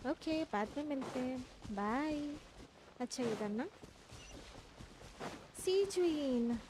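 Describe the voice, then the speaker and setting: A woman's voice: high voice, lively intonation, brisk, noisy background. A woman, streaming a game and reacting in casual, chatty asides. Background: game music.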